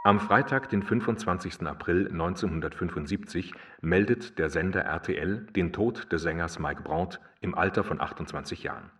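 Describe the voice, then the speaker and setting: An actor playing a sober radio news announcer reading a formal bulletin in a radio play. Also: male voice, sits low, normal speed, few pauses, a bit bright, distant mic.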